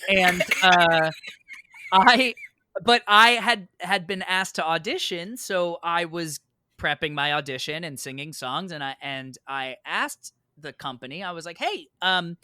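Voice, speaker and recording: A man's voice: high-pitched, unhurried, slight background noise. A man telling a personal anecdote casually as a podcast guest, acting out both sides of remembered conversations. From United States, New York. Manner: animated, acts out both sides of remembered exchanges. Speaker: podcast guest, stage actor.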